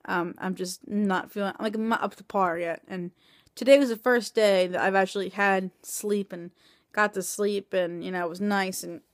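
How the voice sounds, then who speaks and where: A woman of twenty talking into a phone camera at home at night, tired and in pain after surgery, rambling with many halting restarts. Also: mid-range voice, fairly even intonation, dry recording, studio quality. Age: 20s. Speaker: vlogger. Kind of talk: casual video diary. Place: small room indoors at night. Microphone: phone camera held close.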